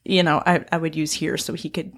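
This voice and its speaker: Low-voiced Appalachian woman, relaxed. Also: fairly quick. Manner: relaxed, chiming in with short agreements. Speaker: podcast co-host. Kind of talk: casual chat.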